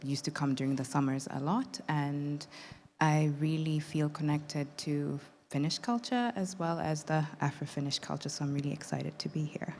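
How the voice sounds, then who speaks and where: A woman from Kenya introducing herself as a panelist, excited and friendly. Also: deep voice, normal speed, a little hiss or hum.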